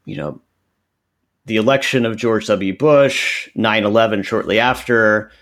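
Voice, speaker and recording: A man in his thirties from Central Texas, a podcast guest who explains his views in an earnest, measured way. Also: on the low side, a bit bright. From Central Texas, USA. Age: 30s. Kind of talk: podcast interview answers.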